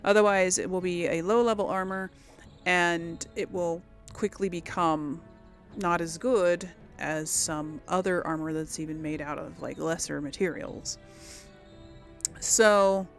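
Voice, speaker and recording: A woman giving casual video game commentary as a host, chatty and hesitant as she thinks aloud. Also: on the low side.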